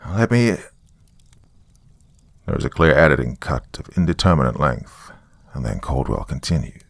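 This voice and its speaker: A man narrating a scripted horror story in a grave, deliberate, dramatic way. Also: very deep voice, vocal fry, breathy edge, no echo.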